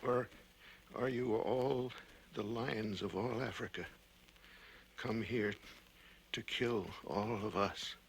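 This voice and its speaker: An actor playing a frightened, exhausted lion hunter in a 1980 radio drama monologue, muttering to himself in halting, repeated fragments. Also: male voice, lowish voice, vocal fry. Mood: weary, brooding dread. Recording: noisy old radio broadcast recording.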